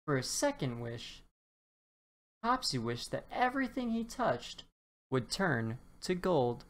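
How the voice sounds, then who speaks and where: A woman narrates a children's picture book for bedtime. She speaks slowly and deliberately, in a low register that swoops expressively around key words. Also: big pitch swings. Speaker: children's storybook reader. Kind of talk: read-aloud bedtime story narration.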